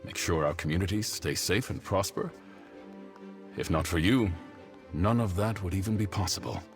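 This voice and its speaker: A man's voice: low voice, moderate pace, gravelly, music in the background. A man voicing a dutiful, dignified leader in a video game, speaking formally and sincerely. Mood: grateful, resolute. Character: earnest, grateful male leader, dutiful and dignified. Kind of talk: scripted video game dialogue.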